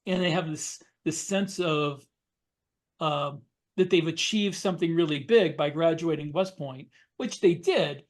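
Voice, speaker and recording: Male American podcast co-host, slightly high register, casual conversational delivery. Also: a little mellow. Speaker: podcast co-host, military science fiction author. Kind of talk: casual discussion.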